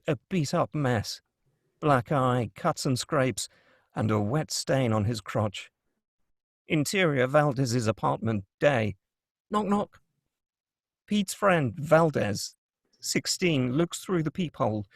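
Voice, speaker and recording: A man's voice: a little high, animated, takes it slowly, dry recording. Dry, close-miked male screenplay narrator. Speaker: narrator reading stage directions. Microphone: close, dry microphone.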